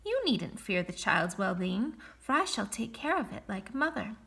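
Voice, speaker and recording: A woman voicing a cruel old sorceress who speaks slowly and menacingly, with loud cries. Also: medium pitch, sing-song, creaky, heavily acted.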